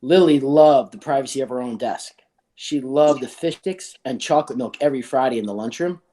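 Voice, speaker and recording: A man's voice: medium pitch, fairly quick, mellow, a little hiss or hum. A man reading a children's storybook aloud as a school staff reader.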